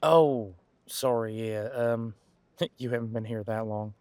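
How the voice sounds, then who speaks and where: A man playing a centuries-old, easygoing detective in an audio roleplay, talking casually and folksily as he muses aloud with pauses. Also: mid-range voice, mellow, clear, resonant tone, dry recording, lightly acted. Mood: surprised, then explaining. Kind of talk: detective roleplay audio, one side of a conversation.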